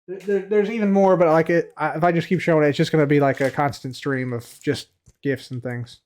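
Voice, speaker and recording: A man's voice: a little high, fairly even intonation, fairly quick. A man chatting casually on a live stream, answering viewer questions, rambling and repeating himself with many fillers, his voice a little tired from heavy use. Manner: trails off quietly at the ends of phrases; reads viewer questions aloud from chat.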